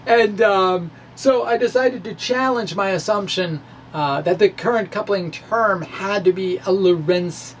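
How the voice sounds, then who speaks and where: A middle-aged man presenting a science blog to camera in an informal, halting, theatrical way, with musing hums. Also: high-pitched. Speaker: science video blogger. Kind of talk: informal dramatic reading of a physics blog to camera. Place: small indoor room with bookshelves. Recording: clear background room noise.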